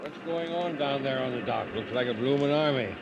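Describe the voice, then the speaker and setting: A muffled old radio recording with clear noise behind a blunt ship's engineer played by a man. He is curious and plain-spoken in the style of 1940s drama. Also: medium pitch, brisk, close to an everyday voice. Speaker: actor playing a ship's chief engineer.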